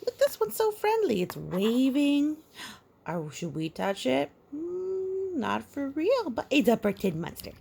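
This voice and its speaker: A woman reading a children's picture book aloud, playful and sing-song, pausing for asides and counting as if talking to small children. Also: high-pitched, sing-song, vocal fry, warm tone.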